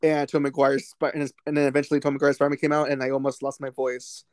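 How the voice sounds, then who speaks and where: A man co-hosting a podcast, talking excitedly about a film in a rambling way, with many restarts and fillers. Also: mid-range voice, very fast, dry recording, clean studio sound. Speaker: podcast co-host. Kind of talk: casual movie discussion.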